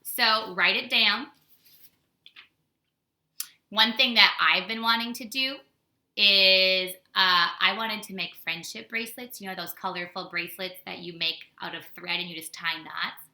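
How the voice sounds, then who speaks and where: An American woman speaks as a teacher to young children, with a very bright, forward voice. Her style is a casual storytime lesson, animated and simply worded, with questions put to the listener. The recording is echoey, like a reflective room at home. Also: medium pitch, a little slow. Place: indoors at home.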